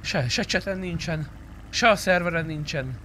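A man's voice: a little high, sharp tone, low-quality recording. A young man streaming a roleplay game, chatting casually and grumbling with frequent swearing. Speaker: gaming streamer. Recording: clear car engine noise behind. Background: game car engines and tire squeals.

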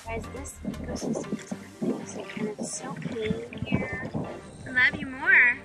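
A woman in her thirties chatting excitably and encouragingly into a handheld camera outdoors by the water, in a casual vlog. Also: high-pitched, unhurried. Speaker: vlogger. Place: outdoors by a bay, dock and water. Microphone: handheld phone or action camera. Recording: music behind, outdoor handheld recording.

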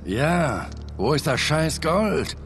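An actor playing a gruff older male treasure hunter, wry and grumbling, with a gravelly voice, in a dubbed action video game. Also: fairly high pitch, very animated, takes it slowly, a little hiss or hum, heavily acted. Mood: exasperated. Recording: music behind the voice.

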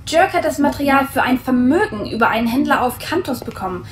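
Thoughtful artificial woman, high voice, roomy sound. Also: lively intonation, fast, slight background noise. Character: artificial young woman, curious and calm. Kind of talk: audio drama acting.

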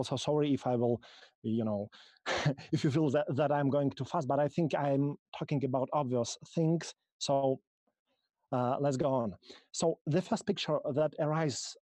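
A man from Russia with a Russian accent, speaking English as a second language and giving a halting technical conference talk over a video call, full of ums and restarts. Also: mid-range voice, dark tone, dry recording. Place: small room, video call.

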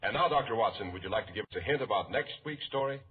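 A man's voice: medium pitch, animated, rapid-fire, a little hiss or hum. A man with an American accent announcing from a script in 1940s radio style. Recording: tinny old radio recording, music behind.

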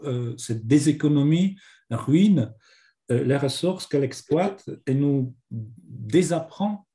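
A man's voice: medium pitch, dark tone. A man with a Polish accent and a cold, giving a hesitant academic lecture over a video call, with many fillers. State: has a cold. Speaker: seminar speaker. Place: home office on video call.

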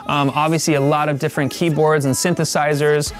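Male tour host, thirties, fairly high voice, casual and upbeat delivery, music underneath. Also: level tone, fairly quick. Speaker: studio owner giving a tour. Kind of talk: casual presenter-style tour talk. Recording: music playing behind the voice. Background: background music.

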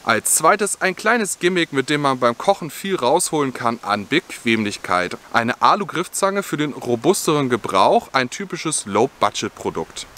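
A young man with a bright, clear-edged voice talks through camping gear in a friendly, explanatory way. He is filmed outdoors in a forest, speaking to the camera as the channel's host. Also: mid-range voice, big pitch swings, moderate pace, few pauses. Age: young adult. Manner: friendly, explanatory, with scripted-sounding product details. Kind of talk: gear review vlog.

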